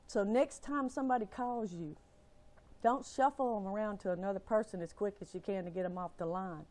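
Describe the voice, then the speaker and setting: A woman's voice: on the high side, darkish tone. A woman with a Southern US accent giving an impassioned, exasperated rant in a shaky, upset voice, with folksy, emphatic phrasing. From Alabama, United States. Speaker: family caregiver.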